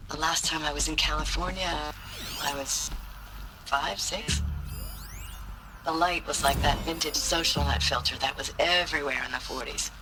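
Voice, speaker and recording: An actor playing a war-weary soldier in a video game, recounting battle in a halting, reflective audio log. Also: male voice, on the high side, natural voice, poor audio. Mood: weary nostalgia. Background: game music.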